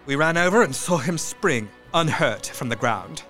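A man narrating an audio drama with tense, measured storytelling that sometimes sinks to a hush. His voice sits a little high for a man. Faint music plays beneath him. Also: animated.

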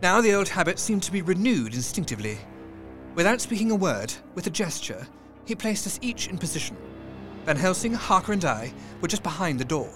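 A man's voice: high-pitched, very animated, faint music behind. Man narrating a tense audio drama. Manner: tense, measured storytelling with hushed dips.

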